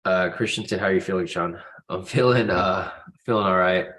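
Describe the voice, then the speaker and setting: A man's voice: low-pitched, a little fast, a bit bright. A man with a stuffy nose from a cold, hosting a live trading stream in a casual, informal way and addressing viewers directly. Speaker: host of a live trading room.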